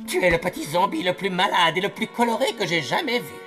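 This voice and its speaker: Theatrical cartoon quack doctor, wildly swinging delivery. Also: male voice, high voice, big pitch swings, fast talker, sharp tone, faint music behind, heavily acted. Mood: alarmed urgency. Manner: theatrical, patronising. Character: quack doctor, brisk, falsely cheerful, bossy and alarmist. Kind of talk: French animated cartoon dub.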